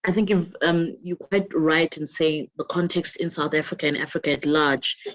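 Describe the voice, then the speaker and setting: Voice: medium pitch, steady tone, moderate pace, a bit bright, dry recording. A panel moderator who thanks speakers, asks questions and gives polite short affirmations.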